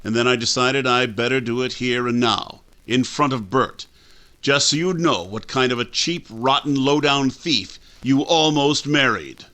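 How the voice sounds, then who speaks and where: A man acts out a stern older father in an old-time radio play. His voice is medium-pitched, bright and forceful, with a blunt, folksy, accusing manner. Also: unhurried. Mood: righteous anger. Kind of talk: 1940s radio drama acting.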